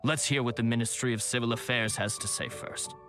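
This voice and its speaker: Man acting a medium-pitched gossiping townsman. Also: fairly even intonation, fairly quick, a bit bright, faint music behind, lightly acted. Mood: speculating. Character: speculating practical townsman gossiping with friend. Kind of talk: video game character acting.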